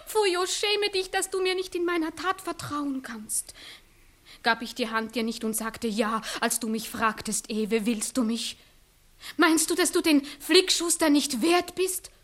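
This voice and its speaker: A proud young country maiden speaks in a very high voice. She pleads and reproaches with impassioned, declamatory phrasing in the manner of 1960s radio theatre. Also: a little slow, a bit bright. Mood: wounded reproach. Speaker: actress in a radio play. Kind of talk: 1960s German-language classical radio acting.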